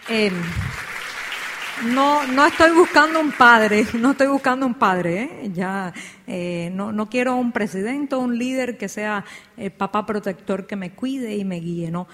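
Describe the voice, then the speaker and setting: A woman's voice: fairly high pitch, a bit bright, a faint crowd, a little hiss or hum. Cuban woman speaking in a reverberant hall. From Cuba. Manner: measured, reflective, frequent "eh" and "¿no?". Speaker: invited speaker answering audience questions. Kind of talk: public talk and Q&A.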